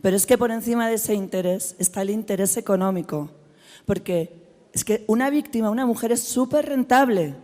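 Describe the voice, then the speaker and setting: Hoarse Spanish woman, impassioned. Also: medium pitch, brisk, a little mellow. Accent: Spanish (Spain). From Spain. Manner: impassioned, repeated rhetorical questions. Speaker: forum participant. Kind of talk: spoken remarks at a panel forum. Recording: hall reverb in a large room.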